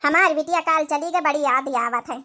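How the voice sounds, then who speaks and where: Woman voicing a high-pitched, patient old Awadhi village mother-in-law. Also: normal speed, bright, forceful tone. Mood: wistful, homely. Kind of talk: Awadhi comedy cartoon voice acting.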